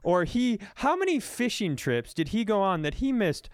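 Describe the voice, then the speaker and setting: A man hosting a comedy podcast, telling stories in casual banter with animated, profane, exaggerated incredulity. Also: high-pitched, normal speed, clean tone, no echo, studio quality.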